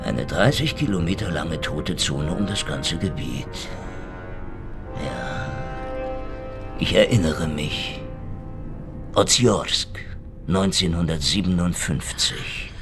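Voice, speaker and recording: A streetwise Soviet investigator in a hardboiled radio play. His low voice crackles with gravel as he needles and swaggers with cynical insistence. Music plays clearly behind him. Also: slow pace, pauses often. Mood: needling, swaggering. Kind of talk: German radio crime drama acting.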